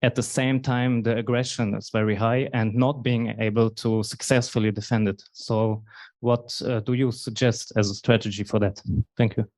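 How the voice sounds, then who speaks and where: A man asking a lecturer a question from the audience, speaking in a hesitant, halting way with small stutters. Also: on the low side, level tone, darkish tone, no room sound.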